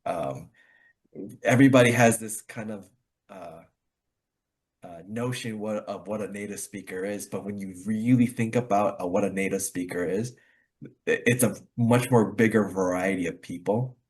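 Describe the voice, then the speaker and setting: Low-voiced Connecticut man, casual rambling host. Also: normal speed, darkish tone. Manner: rambling with many fillers and restarts, laughs easily. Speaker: club host. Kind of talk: casual conversation practice.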